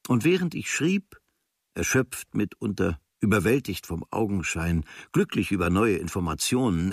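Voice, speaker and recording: A man reading as a reflective, grateful writer looking back on his travels, in a measured, formal literary style. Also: on the low side, vocal fry, breathy edge, dry recording, clean studio sound, exaggerated voice. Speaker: reader of a writer's travel diary preface. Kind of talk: literary first-person reading of a memoir.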